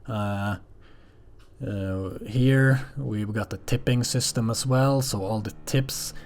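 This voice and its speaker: A man with a low voice talks off the cuff, as if keeping a spoken diary. His delivery is casual and halting, wandering from thought to thought in plain, sometimes sweary language. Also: fairly even intonation, a little mellow. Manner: hesitant, halting, frequently swearing. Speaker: audio log vlogger. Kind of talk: casual rambling personal audio log.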